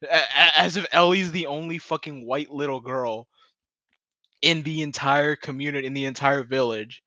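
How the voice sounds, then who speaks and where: Guy doing a sweary casual rant recap, punchy bright voice, dry but kinda dull recording. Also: a little high, normal speed, no echo. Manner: sweary, laughs through his words; mockingly acts out quoted dialogue. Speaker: video commentator. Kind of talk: casual ranting TV episode recap.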